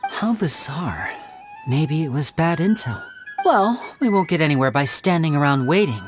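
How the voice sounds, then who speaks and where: A woman's voice: low-pitched, lively intonation, moderate pace, music in the background. An actress playing a courteous young woman investigator in a game, asking people questions. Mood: curious.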